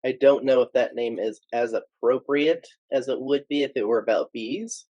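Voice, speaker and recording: A man's voice: mid-range voice, normal speed, warm tone, no echo. A man co-hosting a casual podcast, speaking in short, laid-back replies. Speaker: podcast co-host. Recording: dull, muffled microphone.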